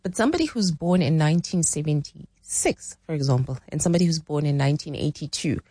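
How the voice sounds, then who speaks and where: A woman's voice: sits low, animated, fast, mellow, husky, dry recording. A woman in her forties from South Africa, explaining relationship ideas in conversational talk, thinking aloud with frequent restarts. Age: 40s. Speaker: relationship expert guest.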